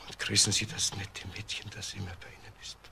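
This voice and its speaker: An actor playing an intense, bitter, lovelorn murder suspect, speaking emphatically and confessionally in a crime radio play. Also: male voice, fairly low pitch, level tone, a little fast, gravelly, bright, forceful tone, heavily acted. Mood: wistful, subdued. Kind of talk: German crime radio drama acting. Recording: faint background noise.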